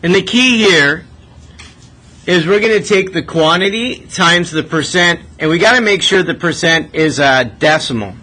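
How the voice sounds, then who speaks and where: A man with a rather high, bright voice works as a math teacher, tutoring step by step. He keeps it conversational, putting short checking questions to the learner and often tagging on a "right?". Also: expressive, normal speed.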